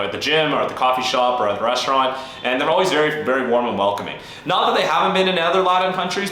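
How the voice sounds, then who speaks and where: A man's voice: mid-range voice, sharp tone. A young Canadian man talking casually and frankly to camera as a travel vlogger, with lots of fillers. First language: English. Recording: very echoey room with faint ambient noise.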